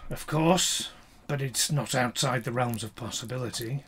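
A man's voice: mid-range voice, moderate pace, a bit bright, a little hiss or hum, lightly acted. An actor playing a polite but evasive male archaeologist in an audio drama. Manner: polite, hesitant, faintly evasive. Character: genial middle-aged male archaeologist, evasive. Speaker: academic.

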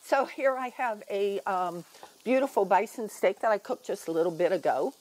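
A woman's voice: mid-range voice, moderate pace, darkish tone, dry recording, poor audio. An older woman, a guest cook, chatting while she demonstrates a recipe in a TV studio kitchen, with many ums as she explains. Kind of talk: cooking demonstration chat.

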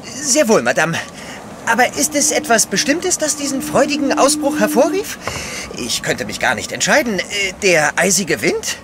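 An actor playing a fussy, long-suffering French manservant in an audio drama, polite but drily sarcastic. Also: male voice, high-pitched, wildly swooping pitch, moderate pace, background noise. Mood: politely puzzled. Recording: clear outdoor ship ambience behind.

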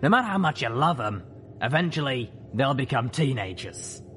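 A man voicing a gruff griffin mother and mercenary leader, firm and world-weary. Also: mid-range voice, very animated, moderate pace, fairly bright tone, a little hiss or hum, pitched above the performer's own voice.

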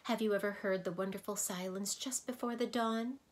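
A woman voicing the lonely female keeper of sounds in a storybook, gentle and soft-spoken, with a wistful, dreamy way of talking. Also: mid-range voice, fairly even intonation, fairly quick, pitched above the performer's own voice, natural voice. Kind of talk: storybook read-aloud.

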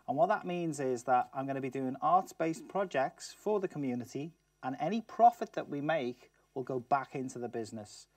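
A man's voice: on the high side, darkish tone, no echo. A middle-aged man from Liverpool with a Liverpool accent, giving a chatty talk to students and asking them questions. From Liverpool, England. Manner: chatty, asks the audience questions. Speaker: guest speaker. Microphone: far camera mic, no mic seen. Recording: distant, dry studio sound.

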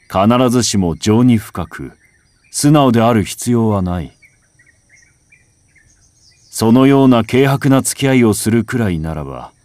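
A man reciting a literary first-person text as a scripted narration, in a measured, contemplative way with dramatic phrasing. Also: on the low side, darkish tone, dry recording. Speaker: audiobook reader. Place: home recording.

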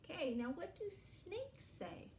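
A woman in her forties leads young children through an animal rhyme with puppets, speaking slowly and in an exaggerated sing-song way. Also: very high-pitched, huge pitch swings, a little mellow, roomy sound, slight background noise. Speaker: early years educator. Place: small indoor room against a bare wall.

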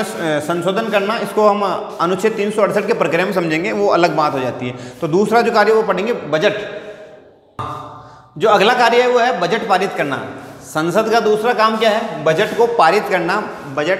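A man's voice: high voice, fairly bright tone. A man teaching a class, repeating phrases and posing rhetorical questions. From India. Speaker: school teacher. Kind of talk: classroom lecture at a whiteboard. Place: indoor classroom. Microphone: clip-on lapel microphone. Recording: echoey, reverberant room.

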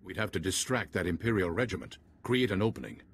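An actor playing a grave, commanding Jedi commander in a video game, measured and serious. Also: male voice, sits low, expressive, slight background noise. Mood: composed, serious. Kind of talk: video game cutscene acting. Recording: music behind the voice.

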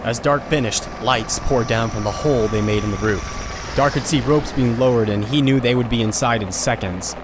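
A man narrating an audio drama in a steady, plain storybook style. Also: mid-range voice, moderate pace, very noisy. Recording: music bed underneath.

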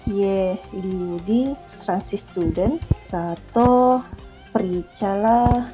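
A young woman from Indonesia, a beginner speaking haltingly on a video call with many pauses and fillers. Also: mid-range voice. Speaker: language learner. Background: music.

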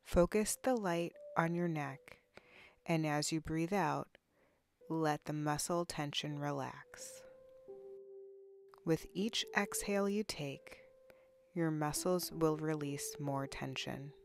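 Slow, low-pitched woman guiding relaxation. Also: over music. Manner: soothing, unhurried, instructional, with long pauses. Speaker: guided relaxation narrator, counselor. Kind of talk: guided body scan meditation.

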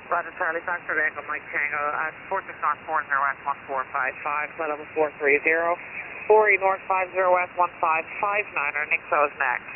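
A man with a slightly high voice talks as an air traffic radio operator in clipped aviation phraseology. He comes through a very narrow shortwave radio channel, with clear static hiss over everything. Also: fairly even intonation, fairly quick. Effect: HF radio transmission. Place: received over long-distance HF radio. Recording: narrow-band, hissy shortwave radio reception. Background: loud static hiss.

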